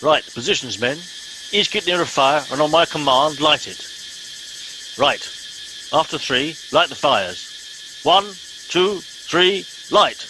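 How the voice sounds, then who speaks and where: A man playing a pompous middle-aged captain in a comedy radio play, brisk, officious and commanding. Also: on the high side, expressive, noisy background.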